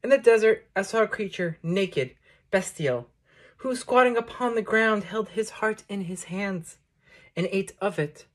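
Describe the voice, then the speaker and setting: Woman reciting poetry in measured phrases. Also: mid-range voice. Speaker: poetry reader introducing a book. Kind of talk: recited poetry reading with short spoken introduction.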